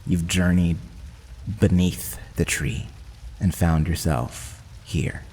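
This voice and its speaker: A man's voice: sits low, fairly even intonation, slow, a little mellow, husky, long reverb tail. A man running a tabletop roleplaying game as game master, narrating in a dramatic, descriptive way.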